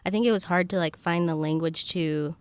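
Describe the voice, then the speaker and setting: A woman's voice: fairly low pitch, a little fast. A young woman from Zambia hosting a casual interview, feeling her way through questions with tentative, like-filled phrasing. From Zambia, moved to the US at ten. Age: young adult. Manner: tentative, searching phrasing with many likes. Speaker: podcast host, interviewer.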